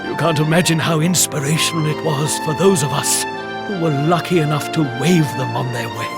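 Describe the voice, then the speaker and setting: Man acting a high-voiced, florid circus ringmaster. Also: fairly quick, close to an everyday voice. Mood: wistful. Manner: grandiose, florid showman's delivery. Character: portly, theatrical, warm-hearted male circus ringmaster. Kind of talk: video game cutscene acting. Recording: loud music underneath. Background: loud music.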